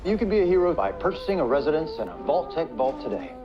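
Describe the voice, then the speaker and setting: An actor playing an upbeat mid-century male pitchman selling bomb shelters in an advertisement. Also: big pitch swings, quick pace. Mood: eager selling. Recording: dull old-broadcast sound, loud music.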